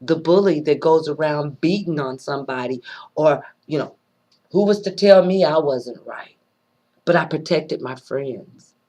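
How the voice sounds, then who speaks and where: Rough-voiced woman, earnest and preachy. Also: deep voice, warm tone. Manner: earnest, preachy, pauses often and repeats phrases. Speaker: vlogger sharing personal views. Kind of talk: unscripted spoken monologue.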